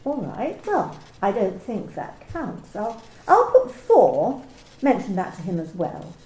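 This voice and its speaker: A woman playing a coolly clinical office manager in an amateur radio play, asking personal questions in a calm, matter-of-fact, bureaucratic way. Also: medium pitch, takes it slowly, echoey room, slight background noise, rough recording. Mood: businesslike.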